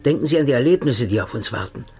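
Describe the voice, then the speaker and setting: A man's voice: medium pitch, racing, soft background music, lightly acted. A man playing a smug, sinister secret agent. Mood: coldly mocking. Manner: calm, mocking, sinister, drops to hushed tones. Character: cold, smug male agent of a secret service, middle-aged. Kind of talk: radio play acting.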